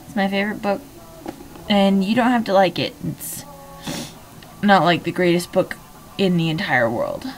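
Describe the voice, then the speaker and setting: Hoarse young woman chatting and reading aloud. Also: fairly low pitch, normal speed, pauses often, faint music behind, rough recording. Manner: rambling, chatty, many fillers. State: hoarse, losing voice. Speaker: host reading a novel aloud. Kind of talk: casual vlog chat and book reading.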